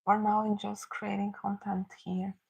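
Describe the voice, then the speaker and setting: Brazilian woman with a gravelly edge. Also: mid-range voice, little pitch movement, lo-fi sound. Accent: Brazilian Portuguese. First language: Portuguese. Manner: halting, searching for English words. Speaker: livestream host. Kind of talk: casual livestream Q&A, answering chat.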